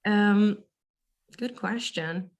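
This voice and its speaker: A young woman with a naturally raspy voice talks as a guest in a casual interview. She hesitates, restarts and fills gaps with "like" and "you know". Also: medium pitch, very slow. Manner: casual, hesitant, laughs often. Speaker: band vocalist, interview guest.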